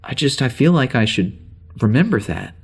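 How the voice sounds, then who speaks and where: An actor playing a troubled starship officer with amnesia, halting and rambling as he records a log in a naturalistic audio drama. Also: mid-range voice, big pitch swings, creaky, slightly rough, full character voice. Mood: agitated, bewildered. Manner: halting, rambling, self-interrupting.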